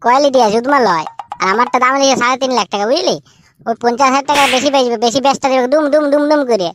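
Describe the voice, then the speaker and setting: A woman voicing a boastful young male village DJ with a Purulia Bengali dialect, bragging loudly and mimicking booming bass sounds. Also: rapid-fire, a little hiss or hum, natural voice. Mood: taunting. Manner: loud, bragging, singsong bass noises.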